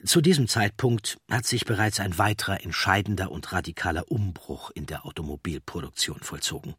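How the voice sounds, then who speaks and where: Low-voiced male narrator. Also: a little fast, a bit bright, breathy edge, no room sound, clean studio sound. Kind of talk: scripted documentary narration.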